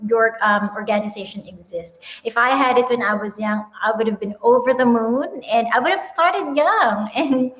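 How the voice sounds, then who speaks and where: A woman in her thirties with a Filipino accent, telling her founder story in an animated, upbeat way with frequent ums and laughs, on a video call. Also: on the high side, normal speed, hardly any pauses, echoey room, rough recording. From Philippines. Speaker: guest, company founder. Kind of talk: casual interview chat. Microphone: webinar video call.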